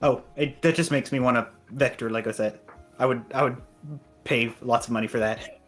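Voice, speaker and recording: Mid-pitched man chatting over faint music. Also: steady tone, moderate pace, fairly bright tone. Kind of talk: casual chat. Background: faint background music.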